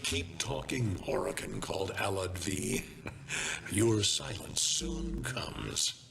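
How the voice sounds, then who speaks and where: An actor playing a menacing, grandiose ancient warlord in a video game, proclaiming ominously under music. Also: male voice, fairly low pitch, slow pace, vocal fry, heavy background noise. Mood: threatening. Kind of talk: video game villain acting. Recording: loud music over the voice.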